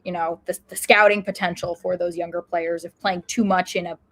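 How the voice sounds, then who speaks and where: A woman's voice: fairly low pitch, flat delivery, a little fast, a bit bright. Conversational woman co-hosting a podcast. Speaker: podcast co-host. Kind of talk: casual sports podcast chat.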